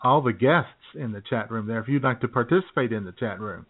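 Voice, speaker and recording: A man's voice: medium pitch, a little fast. A man co-hosting an internet radio show with casual comedic banter, joking and often laughing as he talks. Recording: thin phone-like internet radio audio.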